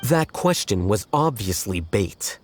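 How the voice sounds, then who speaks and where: An actor playing an aloof, blunt scholar-official in a video game, speaking in a dry, detached, articulate way. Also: male voice, fairly low pitch, sing-song, takes it slowly, faint music behind.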